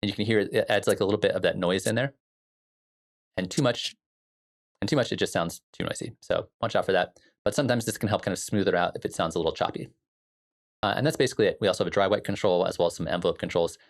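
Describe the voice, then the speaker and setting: A man giving a casual software tutorial as a music production instructor, explaining things in an informal way. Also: low voice, fast.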